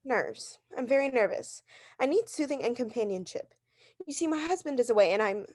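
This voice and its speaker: An actress playing a neurotic, demanding invalid wife in a 1940s radio thriller, insistent, nervous and overwrought. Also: female voice, on the high side, lively intonation, brisk, a little mellow. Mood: demanding, nervy. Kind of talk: 1940s radio drama acting.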